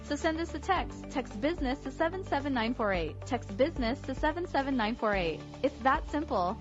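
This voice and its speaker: Upbeat woman announcer, high voice. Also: expressive, brisk, muffled recording. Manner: bright, upbeat sales pitch. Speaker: promo announcer. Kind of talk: scripted radio promo. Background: music bed.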